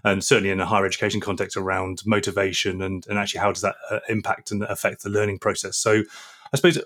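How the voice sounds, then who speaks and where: A man hosts an academic podcast and introduces his guest. He talks fast in a somewhat low voice, often stumbling and repeating words as he frames his questions. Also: level tone, fairly bright tone. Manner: quick, hesitant, repeats words while framing questions. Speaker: podcast host, professor. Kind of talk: podcast interview.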